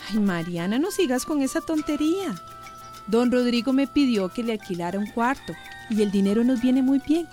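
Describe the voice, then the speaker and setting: Lady doing a busy scolding costa rican mom, kinda high, faint music. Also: expressive, normal speed, mellow, close to an everyday voice. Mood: fussing. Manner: flustered, scolding. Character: busy, fussing village mother.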